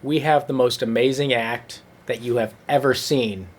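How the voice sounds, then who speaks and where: A man's voice: medium pitch, steady tone, normal speed, pitched below the performer's own voice, close to an everyday voice. A man voicing a boastful, eager talent-show contestant in a comic story. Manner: boastful, earnest.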